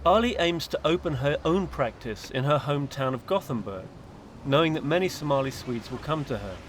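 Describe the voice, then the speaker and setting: A man giving scripted documentary narration in a measured, even delivery. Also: a little high, slight background noise.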